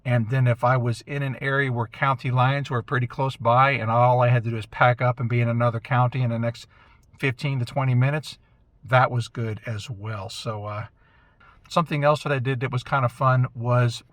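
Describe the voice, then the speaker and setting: A man's voice: mid-range voice, fairly bright tone, no echo. A man giving a casual, unscripted recap as an amateur radio vlogger, chatty and informal, thinking aloud as he goes.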